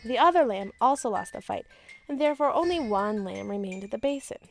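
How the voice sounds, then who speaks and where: Children's fairy tale narrator: woman, slightly high voice, scripted storytelling, clear music bed. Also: lively intonation, a little fast.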